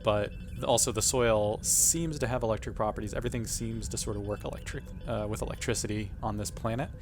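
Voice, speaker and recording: A man's voice: on the low side, fairly even intonation, fast, music in the background. A man chatting casually as a player in a tabletop role-playing game.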